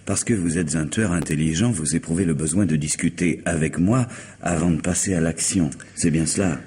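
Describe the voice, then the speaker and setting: A man's voice: deep voice, moderate pace, darkish tone, husky. An actor playing a busy professional man in a radio mystery drama, formal and indignant yet warily curious. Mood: wary, curious. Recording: noisy old radio drama recording.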